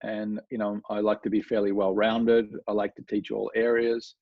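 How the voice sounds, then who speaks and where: A man's voice: on the low side, monotone, moderate pace, no room sound, phone-quality sound. An Australian man, a golf coach, talking casually in an interview, rambling with lots of fillers and restarts.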